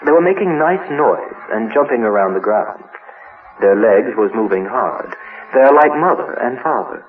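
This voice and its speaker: A man's voice: medium pitch, animated, moderate pace, close to an everyday voice. A man voicing a chained, hurt monster child in a horror monologue, telling its story in simple, broken childlike sentences. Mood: wistful, wary. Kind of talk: first-person dramatic horror monologue. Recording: noisy, tinny narrow-band radio-like sound.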